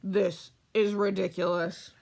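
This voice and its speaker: A woman's voice: medium pitch, little pitch movement, slow pace, vocal fry, a little mellow, pitched below the performer's own voice. A woman voicing an exasperated, dramatic storyteller who bickers with the characters of a comic storybook tale. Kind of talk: comic storybook read-aloud.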